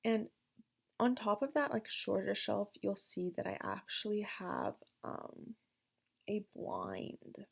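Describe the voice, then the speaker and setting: Young Canadian woman, casual rambling voiceover, gravelly fry, husky tone. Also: medium pitch, steady tone, takes it slowly. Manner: rambling, filler-heavy, self-deprecating. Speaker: gaming vlogger. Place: her bedroom in a basement.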